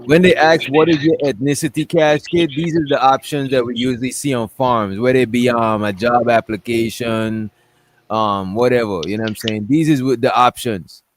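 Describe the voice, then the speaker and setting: A man's voice: medium pitch, fairly even intonation, normal speed, a little hiss or hum. A man hosting a casual discussion stream, talking loosely and rambling while he pulls up things on screen. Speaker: stream host.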